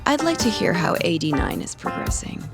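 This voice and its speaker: Coy young woman, creaky low voice. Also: very animated, fairly quick. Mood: polite, flustered. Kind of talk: video game cutscene acting. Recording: loud background music.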